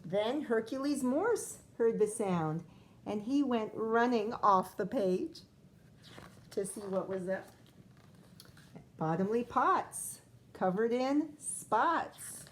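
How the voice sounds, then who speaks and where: Woman, first grade teacher, read-aloud, unhurried, asks questions, explains words, faint background hum. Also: fairly high pitch, lively intonation. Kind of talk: reading a picture book aloud to children. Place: classroom or home, recorded for video.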